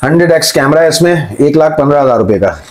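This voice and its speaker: Higher-pitched Pakistani man pitching like a salesman. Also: lively intonation, fast talker. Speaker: mobile phone seller. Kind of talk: informal sales pitch to camera. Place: indoor room with display table.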